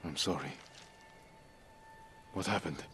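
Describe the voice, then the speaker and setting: An honorable samurai lord in a dramatic video game. The voice is deep, restrained and terse, the composed tone of a leader. Music is clearly heard behind it. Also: male voice, slow pace, bright, forceful tone, heavily acted. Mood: somber.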